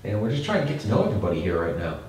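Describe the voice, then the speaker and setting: Low-voiced man in an echoey room. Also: big pitch swings, very fast, a little mellow. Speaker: paranormal investigator and host. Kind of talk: casual narration and calling out to spirits during a live investigation. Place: indoors in an old house. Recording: very echoey room, distant mic, faint noise.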